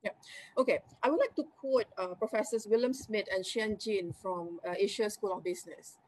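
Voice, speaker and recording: A woman with a Malaysian accent, Malay her first language, answering interview questions as a company executive in an energetic, emphatic way, listing points and adding tag questions. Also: medium pitch, normal speed, a little hiss or hum, low-quality recording. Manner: energetic, emphatic, lists points with rising tags.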